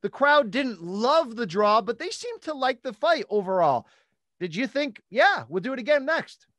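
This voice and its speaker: A man in his forties hosting a sports podcast, recapping and asking questions with emphatic, animated delivery into a close studio mic. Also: very high-pitched, moderate pace, no echo. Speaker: podcast host. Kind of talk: sports talk recap and interview. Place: small home studio room. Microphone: close studio microphone on boom arm.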